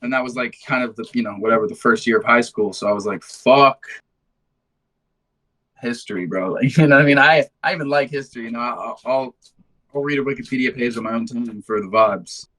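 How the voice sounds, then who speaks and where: A man's voice: mid-range voice, brisk, a bit bright, low-quality recording. A man in his twenties from London who later moved to the States, chatting casually in an interview with a laid-back, slangy, sweary way of telling stories. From London, England, then United States from high school. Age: 20s. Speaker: band musician being interviewed.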